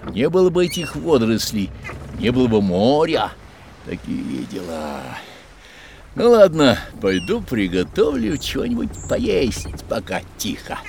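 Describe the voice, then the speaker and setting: An actor playing a boastful, whimsical adventuring sea captain in a theatrical, self-satisfied way for a children's audio drama. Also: male voice, mid-range voice, huge pitch swings, measured pace, gravelly, darkish tone, a little hiss or hum, full character voice. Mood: proud, emphatic. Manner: theatrical, self-satisfied, exclaiming, talks in short questions.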